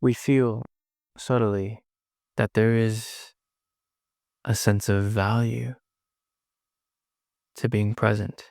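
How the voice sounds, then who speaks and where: A man leading a guided meditation in a soothing manner, pausing often between short phrases. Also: on the low side, level tone, vocal fry, darkish tone, no room sound, clean studio sound. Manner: slow, pausing, soothing delivery.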